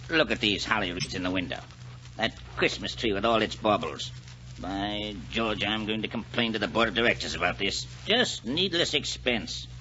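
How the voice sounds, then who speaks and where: An actor playing a cantankerous, cynical elderly club gentleman in a 1940s radio drama, blustering and scornful. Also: fairly low pitch, lively intonation, moderate pace. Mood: scornful grumbling. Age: older. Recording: muffled, noisy 1940s radio recording.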